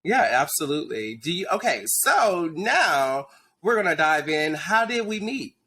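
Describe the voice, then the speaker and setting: Man in his thirties, talk show host, sassy and teasing, lively swooping pitch, bright tone. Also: measured pace, slightly rough. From Dallas, Texas. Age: 30s. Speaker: podcast host. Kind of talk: casual interview chat. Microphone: video call.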